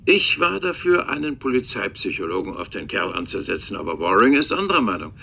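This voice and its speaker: An actor playing a defensive, haughty Cambridge professor in radio drama dialogue, formal, testy and self-justifying. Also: male voice, on the low side, big pitch swings, fairly quick, gravelly, pushed and bright, breathy edge, heavily acted.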